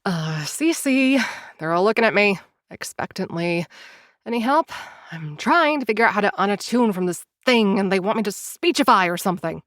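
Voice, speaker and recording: A woman's voice: medium pitch, expressive, normal speed, fairly bright tone, dry recording, clean studio sound. A woman narrating and acting in an audio drama, wry and easily flustered, speaking to listeners. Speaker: time-traveler narrator addressing listeners.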